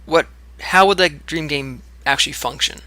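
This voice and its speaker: A man's voice: a little high, moderate pace, pushed and bright, no room sound. A man co-hosting a casual podcast chat, upbeat and teasing. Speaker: co-host.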